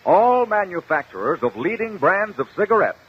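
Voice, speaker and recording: A man pitches a product as a 1950s radio announcer. His raspy, fairly high voice rises and falls freely in emphatic, persuasive phrases, on a dull vintage recording. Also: big pitch swings, moderate pace. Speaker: cigarette advertisement announcer.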